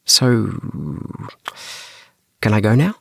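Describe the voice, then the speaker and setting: Earnest, protesting boy in a kids' audiobook, done by a male reader, pitched up, dry close recording. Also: lively intonation, drawn-out words, gravelly, no room sound, exaggerated voice. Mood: exasperated. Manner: earnest, insistent, exclaiming. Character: Tim, earnest young boy pleading with parents.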